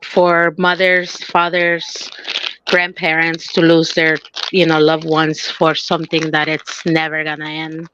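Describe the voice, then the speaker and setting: A woman's voice: deep voice, monotone, measured pace, lo-fi sound, background noise. A woman chatting and bantering casually as a podcast co-host, effusive and often repeating her phrases. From Mexico.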